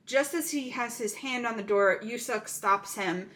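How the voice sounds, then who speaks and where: A woman's voice: on the high side, a little slow, fairly bright tone. Chatty woman recapping a movie. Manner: chatty, many "um" fillers. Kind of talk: casual movie plot recap.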